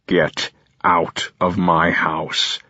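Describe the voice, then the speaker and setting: A man's voice: deep voice, flat delivery, very slow, pushed and bright, no echo, exaggerated voice. A man voicing a harsh, furious middle-aged father who speaks sternly and condescendingly, in a dull, dry recording.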